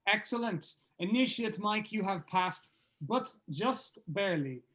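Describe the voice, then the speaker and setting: A man's voice: high voice, expressive, measured pace, pushed and bright, exaggerated voice. A man playing a pompous band leader with a mock-solemn, ceremonial manner in a comedy script read-through.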